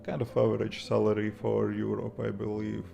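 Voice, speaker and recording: A young man with a Latvian accent chats casually from home on his livestream, restarting his sentences as he goes. His voice is low and gravelly, with a flat, even tone. Guitar music plays clearly behind him. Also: dull audio. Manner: halting, restarts often, chuckles softly. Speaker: livestream host, iOS developer. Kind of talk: casual livestream chat reading viewer comments.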